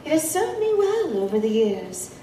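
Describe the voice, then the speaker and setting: A woman playing an ancient, wise, secretive being with formal, measured, archaic wording and a wry temper. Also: sits high, very animated, dark tone, distant mic. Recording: echoey, off-mic, ambient noise behind.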